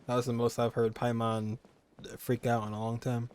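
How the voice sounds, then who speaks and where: A man's voice: medium pitch, flat delivery, normal speed, darkish tone, clear, resonant tone. Laid-back man commenting on gameplay. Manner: laid-back, chuckling reactions. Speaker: gaming streamer.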